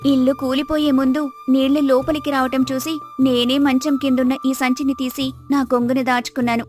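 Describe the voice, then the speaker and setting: Woman acting a high-voiced, devoted village wife. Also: flat delivery, normal speed, a little mellow, faint music behind, close to an everyday voice. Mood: relieved, devoted. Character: patient, devoted, wise village wife of a miser. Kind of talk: Telugu moral story character acting.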